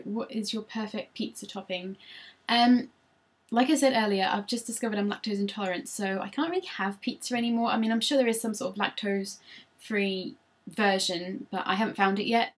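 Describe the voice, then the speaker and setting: A woman's voice: medium pitch, breathy edge. A woman, chatting casually through tag questions on her vlog with frequent ums, recorded on a laptop. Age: 20s. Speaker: vlogger.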